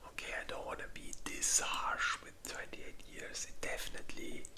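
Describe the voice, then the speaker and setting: An ASMR creator whispering in a breathy, unvoiced voice, chatting informally and thinking aloud with some hesitation. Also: moderate pace, pushed and bright. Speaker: ASMR video creator and host. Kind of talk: whispered ASMR, casual rambling chat.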